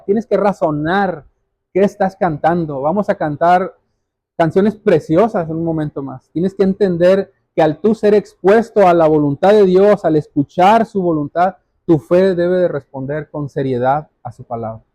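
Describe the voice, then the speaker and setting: A man's voice: high-pitched, normal speed, warm tone, dry recording. A man preaching a sermon as a pastor, speaking earnestly and directly to his listeners and repeating phrases for emphasis. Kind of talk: sermon, exhortation.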